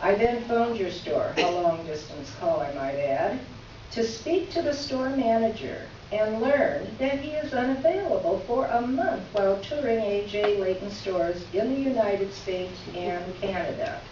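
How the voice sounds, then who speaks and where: A woman's voice: medium pitch, lively intonation, a little slow, warm tone, natural voice. A woman performing a readers theater piece as an exasperated, sarcastic writer reading out her complaint letter with emphatic delivery. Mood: biting sarcasm. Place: reverberant room, recorded from a distance. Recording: echoey room, distant mic, muffled, faint noise.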